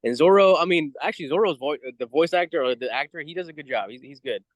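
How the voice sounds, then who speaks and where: A man co-hosting a casual fan review chat, explaining things in a halting, rambling way. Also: a little high, animated, no room sound. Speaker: co-host of a review show.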